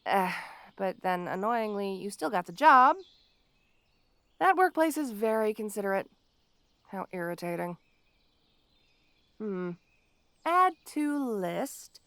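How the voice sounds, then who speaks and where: A woman playing a petty, obsessive supervillain in an audio roleplay, gloating haughtily and speaking straight to the listener with theatrical pauses. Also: medium pitch, big pitch swings, a bit bright, no room sound, full character voice. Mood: petty, smug. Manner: gloating, haughty, dramatic pauses and sudden swoops. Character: petty, obsessive, melodramatic female supervillain with a grudge.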